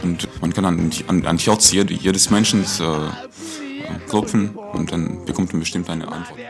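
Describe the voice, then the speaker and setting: Very deep-voiced young man. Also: fairly even intonation, gravelly, music in the background. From Russia. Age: 20s. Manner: searching for words, halting, earnest. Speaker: interviewee. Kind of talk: documentary interview.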